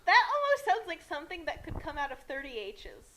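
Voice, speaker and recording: A woman co-commentating in casual comic banter, incredulous and questioning, with halting restarts. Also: high-pitched, huge pitch swings, pushed and bright. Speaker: co-commentator reacting to the reading.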